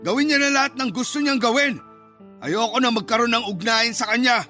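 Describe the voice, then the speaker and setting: A man's voice: very high voice, a little fast, sharp tone, faint music behind. An actor with a Filipino accent playing a cold, hostile father in a radio drama, curt and scornful. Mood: cold, dismissive. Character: hostile, cold father of a grown son, irritated.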